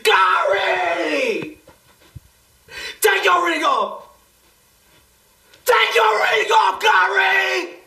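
A man's voice: animated, extremely slow, creaky, pauses often, roomy sound, heavily acted. A man voicing a furious male basketball star screaming rants at his teammates in a small paneled room. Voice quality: shrill, high strained yelling.

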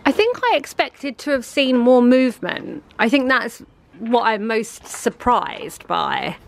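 A woman chats slowly outdoors, her voice swinging in a lively way with a gravelly edge. Faint outdoor noise sits behind her. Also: a little high. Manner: slow, laughing, lively. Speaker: home renovation vlogger. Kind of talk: casual chat outdoors. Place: outdoor garden by scaffolding.

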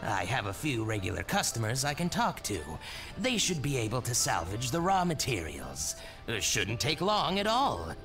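A man's voice: medium pitch, wildly swooping pitch, normal speed, fairly bright tone. An actor voicing a hesitant merchant in a video game, hedging and stalling as he talks, with music playing behind. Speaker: game character.

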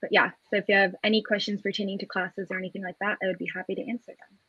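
A woman's voice: mid-range voice, little pitch movement, very fast, pushed and bright, husky, no room sound, lo-fi sound. A woman in her twenties, an undergraduate student, casually introducing herself on a video call. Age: 20s.

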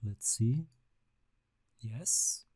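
A man, narrating a screen-recorded software tutorial step by step, calmly describing each click. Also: mid-range voice, no echo. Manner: unhurried, step-by-step narration of mouse actions. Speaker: CAD software instructor.

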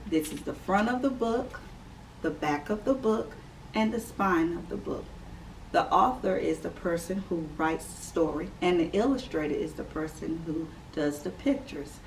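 A woman teacher reads a picture book to little children, unhurried and clear, with a sing-song lilt. Her voice sits a touch low for a woman, and she is picked up by a camera mic a few feet away in a classroom with faint room hum. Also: darkish tone, distant mic, rough recording. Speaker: preschool teacher reading aloud. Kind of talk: children's read-aloud storytime. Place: classroom indoors.

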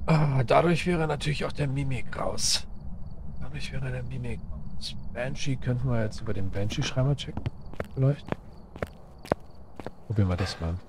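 A man's voice: mid-range voice, moderate pace, long pauses, darkish tone, slight background noise. A man giving casual let's play commentary, thinking aloud to himself in short, musing phrases. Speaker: gaming streamer playing solo.

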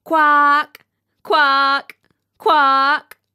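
A woman voicing a peaceable, practical female duck in a storybook, chatty and homely, with emphatic, drawn-out delivery. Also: sits high, flat delivery, pushed and bright, voice pushed up, full character voice. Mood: loud, curious. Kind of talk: storybook character reading.

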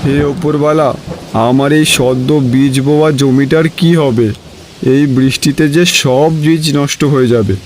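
A man's voice: mid-range voice, fairly even intonation, normal speed, a little mellow, a little hiss or hum, lightly acted, poor audio. A man playing a caring, worried village farmer, husband and father in a Bengali cartoon story.

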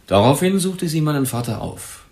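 A man's voice: fairly high pitch, big pitch swings, moderate pace, darkish tone, roomy sound. An actor playing a defensive, troubled businessman under questioning in a German crime radio drama, explaining himself earnestly and hesitantly. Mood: subdued, confiding.

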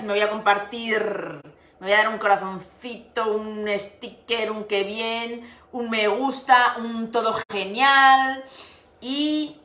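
A chatty middle-aged woman from Spain hosts a live craft stream from her home, greeting viewers by name and laughing often. Her mid-pitched voice rings with a roomy echo, picked up by a device set on her desk. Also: pushed and bright. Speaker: craft livestream host. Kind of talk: casual livestream chat. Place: home room with window. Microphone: device camera on desk. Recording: echoey room.

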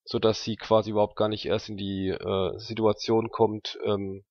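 Gravelly low-voiced man, halting. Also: little pitch movement, no echo. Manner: halting, thinking aloud. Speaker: tabletop role-player. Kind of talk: casual slangy in-character dialogue. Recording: dry, slightly dull sound.

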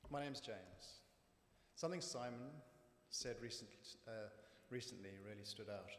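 A middle-aged man with a Zimbabwean accent, reading his personal testimony from notes at a church lectern in a measured, earnest way. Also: lowish voice, a little hiss or hum. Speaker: church member giving testimony. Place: church hall with raised stage. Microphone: lectern microphone. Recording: echoey hall from lectern mic.

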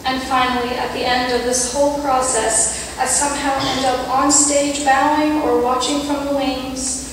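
A woman's voice: sits high, level tone, background noise. Young woman reading in an echoey church. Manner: earnest, measured, with light self-deprecating asides. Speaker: youth speaker. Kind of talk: prepared reflection read in church. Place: large church sanctuary, at a pulpit. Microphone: pulpit mic, camera far off. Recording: echoey church hall from far off.

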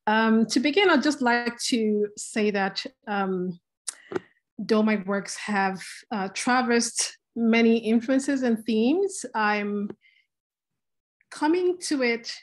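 A woman's voice: on the high side, slow. A woman from Nigeria presenting her art practice on a video call, speaking with frequent hesitant fillers. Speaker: panelist artist.

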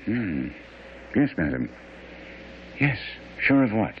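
An actor playing a composed, probing detective who questions with measured courtesy in a radio mystery drama. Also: male voice, fairly low pitch, very animated. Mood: attentive, calm. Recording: dull, noisy vintage radio recording.

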